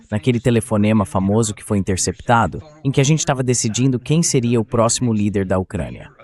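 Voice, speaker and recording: A man with a Brazilian accent speaking as an interview guest in a translated voice-over, explaining in a conversational way. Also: fairly low pitch. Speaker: interview guest giving political commentary.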